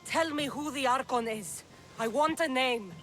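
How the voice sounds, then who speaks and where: A woman's voice: sits high, unhurried, background noise. An actress playing a fierce, foul-mouthed woman mercenary in a game cutscene, who talks bluntly and threatens freely. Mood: threatening. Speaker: mercenary hero.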